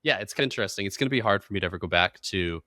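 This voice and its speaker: A man's voice: fairly low pitch, expressive, racing, pushed and bright, no room sound, clean studio sound. A man hosting a podcast, chatting casually and agreeably in an informal business interview. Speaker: podcast host.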